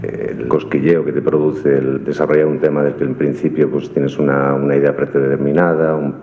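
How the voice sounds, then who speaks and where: A man's voice: rumbling low, flat delivery, normal speed. A man giving an emphatic personal testimonial, often repeating his phrases. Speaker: community radio participant. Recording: dull playback in echoey hall.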